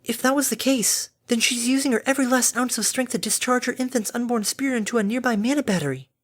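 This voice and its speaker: A timid female cartoon-style pony, acted out by a woman narrator. Her voice sits high, with formal, careful wording. She is prim and anxious, fretting as she speaks. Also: quick pace, lightly acted. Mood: flustered insistence. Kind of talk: animated audiobook character acting.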